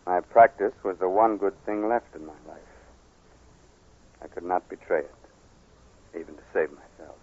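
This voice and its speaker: Casting: grave older doctor with a dark secret, deep measured voice, vintage radio sound. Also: male voice, slightly rough. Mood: solemn confession. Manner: measured, solemn. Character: grave older male surgeon, a guilty but principled murderer. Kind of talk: 1940s radio acting. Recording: muffled 1940s radio recording.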